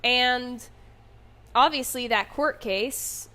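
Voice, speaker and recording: High, bright, youthful young woman. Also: very slow. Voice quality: high, youthful voice, sometimes mistaken for a child's. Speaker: online content creator telling their own story. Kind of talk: casual personal storytelling monologue.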